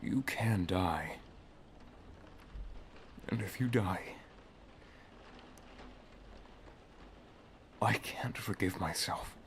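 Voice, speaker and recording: Low-voiced guy acting as a grave protective wizard mentor boyfriend, soft half whispered asmr, noisy hissy recording. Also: slow pace. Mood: anguished. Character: solemn, protective male wizard master and lover. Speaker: ASMR roleplay performer. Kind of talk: ASMR roleplay, dramatic monologue.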